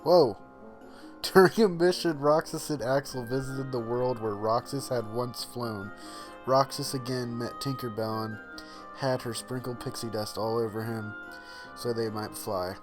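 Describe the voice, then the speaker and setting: Reaction host: man, mid-pitched, unhurried, halting read-aloud with short exclamations, music behind. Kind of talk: casual live reaction, reading on-screen text aloud.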